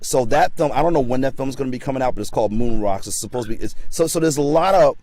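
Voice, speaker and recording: A man's voice: medium pitch, rapid-fire, a little mellow, no echo. A man talking as a guest in a casual interview, often repeating words as he stumbles, giving encouraging advice. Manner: encouraging, motivational. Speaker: guest actor.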